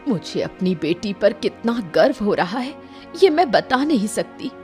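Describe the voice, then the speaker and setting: A woman acts out a village mother from a cartoon moral tale, proud and fiercely protective. She speaks with firm feeling at an ordinary female pitch, over clearly audible music. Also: sing-song, unhurried, darkish tone. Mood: proud, reproachful.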